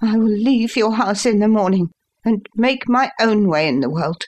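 A man voicing a proud, indignant young gentleman son in a Victorian audiobook, impassioned and defiant. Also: sits high, moderate pace, lo-fi sound, pitched above the performer's own voice, lightly acted. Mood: wounded, defiant.

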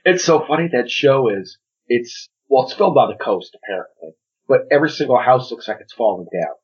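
Husky, animated man chatting, lo-fi sound. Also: mid-range voice, a little fast. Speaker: podcast co-host. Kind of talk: casual film chat.

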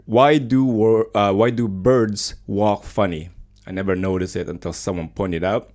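A man's voice: fairly low pitch, fairly even intonation, unhurried, a little mellow. A man hosting a live student radio show in a studio, chatting casually with many small hesitations. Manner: chatty, hesitant with frequent fillers. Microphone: studio microphone.

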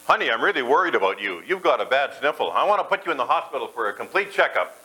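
A man voicing a husband who grows colder toward his sick wife, in a brisk, impatient manner. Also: medium pitch, big pitch swings, pushed and bright, pitched above the performer's own voice, rough recording. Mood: mock concerned.

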